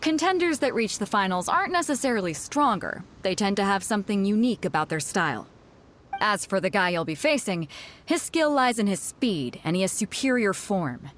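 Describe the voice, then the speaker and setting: A woman's voice: mid-range voice, lively intonation, moderate pace, fairly bright tone, a little hiss or hum, lightly acted. An actress playing a confident, shrewd female sea captain in a game, self-assured and wryly teasing. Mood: sizing up. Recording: game cutscene with faint music.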